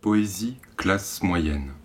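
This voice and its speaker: Low-voiced Frenchman reading poetry. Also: animated. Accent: French from France. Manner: measured, short phrases with deliberate pauses. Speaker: poet reading own work.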